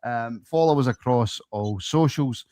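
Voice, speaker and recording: A man hosting a podcast, jokey and quick to laugh at himself. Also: medium pitch, dark tone, no room sound. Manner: jokey, laughing, self-mocking. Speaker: podcast host. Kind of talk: casual podcast chat.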